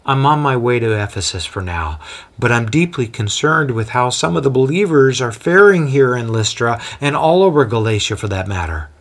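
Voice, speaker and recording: A man voicing a gentle traveling apostle who speaks calmly and deferentially. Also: medium pitch, normal speed. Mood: measured. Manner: calm and deferential.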